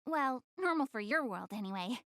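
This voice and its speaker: A woman's voice: fairly high pitch, lively intonation, normal speed, a bit bright, no echo, studio quality. A young woman playing a proud, easily flustered, cute anime goddess girl in a video game, speaking bashfully and defensively to the player. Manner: bashful, defensive, stammering when embarrassed.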